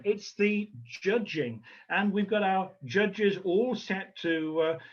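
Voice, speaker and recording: A man hosting an online event informally and haltingly, speaking over a video call. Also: high voice. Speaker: host and organiser of a pageant. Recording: muffled lo-fi video call audio.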